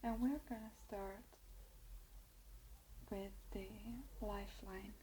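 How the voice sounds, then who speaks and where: A young woman with an Italian accent, Italian her first language, whispering softly and hesitantly in an ASMR video. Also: mid-range voice, steady tone, takes it slowly, a little hiss or hum, low-quality recording. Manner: soft whisper, hesitant with many fillers. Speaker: ASMR creator.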